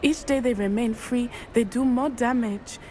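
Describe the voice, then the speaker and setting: A woman's voice: a little high, a little slow, mellow, slight background noise, lightly acted. An actress playing an earnest, determined young student witch with a precise, formal way of speaking. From Matabeleland. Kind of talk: video game voice acting.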